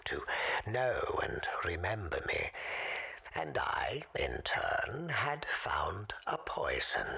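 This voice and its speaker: Deep, rasping, whispery old storyteller. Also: male voice, huge pitch swings, sharp tone, heavily acted. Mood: sly, confiding. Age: older. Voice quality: hoarse, breathy, whispery rasp. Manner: deliberate, theatrical, formal phrasing with dramatic pauses. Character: weary, sinister old man recounting his deadly encounter. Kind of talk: audio drama monologue.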